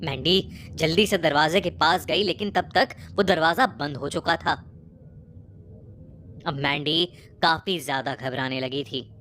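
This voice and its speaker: A woman narrating a scripted horror story in a measured, suspenseful way, phrase by phrase. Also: sits low, a bit bright, a little hiss or hum. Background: prominent music bed.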